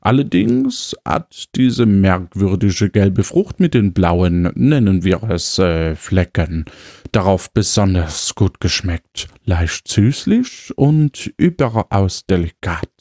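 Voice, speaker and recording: A man's voice: sits low, sing-song, slow pace, darkish tone, no room sound, studio quality, full character voice. A man playing a genteel, food-loving gourmet cannibal who reads his diary in an affected, savouring way with French phrases, as in an audio drama.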